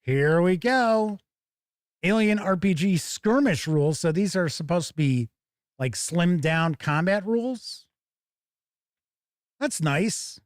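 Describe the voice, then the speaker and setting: A man's voice: high-pitched, lively intonation, takes it slowly, pauses often, dry recording, studio quality. A man hosting a tabletop game review stream, chatting casually and thinking aloud as he comments on an unboxing.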